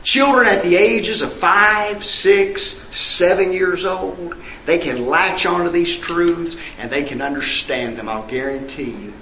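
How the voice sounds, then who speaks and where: A man's voice: high-pitched, unhurried, bright, forceful tone, roomy sound, a little hiss or hum, low-quality recording. A male preacher, delivering an emphatic sermon full of rhetorical questions and scripture read in old-fashioned wording. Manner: reads scripture in King James wording.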